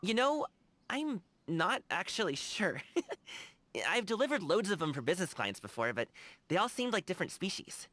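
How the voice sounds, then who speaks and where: A man's voice: high-pitched, very animated, normal speed, sharp tone, exaggerated voice. An actor playing a cheerful, earnest young man in a video game, chatting in a casual, slangy way. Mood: chatty, amused. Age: young adult. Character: cheerful, earnest young male lion-dancer and tea lover. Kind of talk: animated video game character dialogue.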